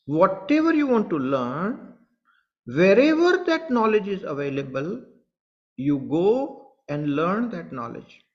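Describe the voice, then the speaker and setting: A man's voice: high-pitched, very animated, takes it slowly, lo-fi sound. A man with an Indian English accent, a spiritual teacher speaking earnestly to online followers, repeating his points and addressing them directly. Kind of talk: satsang talk to online followers.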